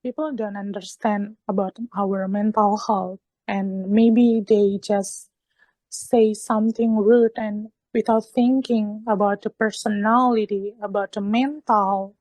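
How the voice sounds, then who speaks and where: A woman's voice: medium pitch, fairly even intonation, slow pace, a little mellow, dry recording, poor audio. A woman from Indonesia with an Indonesian accent, a native Indonesian speaker, talking earnestly and encouragingly in an online discussion, often repeating her phrases. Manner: hesitant, repetitive phrasing in earnest, encouraging talk. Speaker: English learner in an online discussion group. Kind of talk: motivational discussion in an online meeting.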